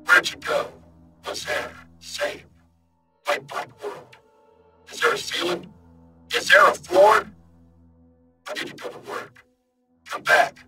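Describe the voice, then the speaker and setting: A performer voicing a lost, disembodied human mind, whispering in broken fragments with sudden loud outbursts, panicked and confused. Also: medium pitch, slow, long pauses, faint music behind. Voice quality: mostly whispered, breathy. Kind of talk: horror audio reading.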